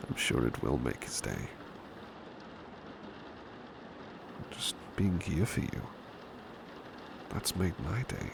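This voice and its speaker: A man performs a gentle British butler with an extremely low, heavily creaky voice. He speaks in a breathy hush that often drops to a whisper, and his intonation moves expressively. His manner is deferential and comforting, in the style of an ASMR roleplay. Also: full character voice. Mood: tender, reassuring. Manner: tender, deferential, reassuring. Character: devoted, gentle male butler soothing a sleepy master. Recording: clear background ambience noise, rain. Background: rain ambience.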